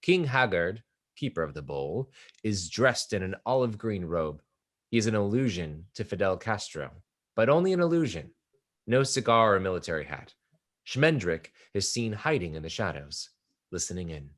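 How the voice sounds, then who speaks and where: A man's voice: fairly low pitch, very animated, normal speed. A man narrating stage directions from a script for a play reading.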